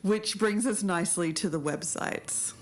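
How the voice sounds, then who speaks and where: A woman's voice: mid-range voice, measured pace, faint music behind. An Australian woman in her thirties co-hosting and presenting a podcast. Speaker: podcast co-host.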